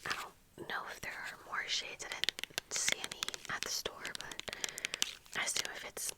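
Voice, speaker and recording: A young adult woman whispering softly and breathily into a close microphone in casual, rambling, unhurried ASMR chat. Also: poor audio. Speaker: ASMR video creator. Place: small room at home with bookshelves. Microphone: close desk microphone with fluffy windscreen.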